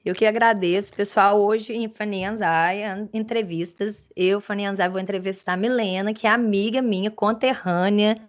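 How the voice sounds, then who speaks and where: A chatty woman with a Mineiro Brazilian accent hosts a friendly interview, speaking at an ordinary mid pitch. She laughs easily and keeps tossing in tag questions. The sound comes through a video call. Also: fairly even intonation, normal speed, few pauses, muffled recording. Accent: Mineiro Brazilian Portuguese. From Minas Gerais, Brazil. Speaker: interview host. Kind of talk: casual interview chat.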